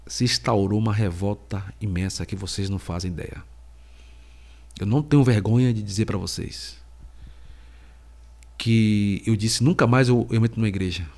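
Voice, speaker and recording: A middle-aged man, a podcast host and preacher, giving a slow, halting personal faith testimony while he has a cold. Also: on the low side, a little mellow. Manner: slow, halting, heavy pauses.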